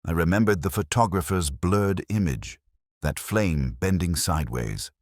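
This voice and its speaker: A man reading a first-person detective mystery as narrator, in a measured, brooding and deliberate way. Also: sits low, no echo, clean studio sound.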